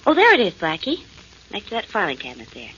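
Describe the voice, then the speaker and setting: A plucky young sidekick in a 1940s radio mystery. She speaks in a bright, lively voice, pert and playful with her questions and quips. The old recording is muffled and noisy. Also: medium pitch, fast talker, husky, exaggerated voice. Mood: playful, curious. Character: plucky, quipping young woman, a detective's sidekick. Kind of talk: 1940s radio drama acting.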